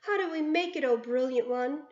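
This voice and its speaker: Lady voicing a bunch of fawning witches, high and excited, echoey lo-fi sound. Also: normal speed, natural voice. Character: eager, fawning crowd of female witches. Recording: roomy, dull lo-fi sound.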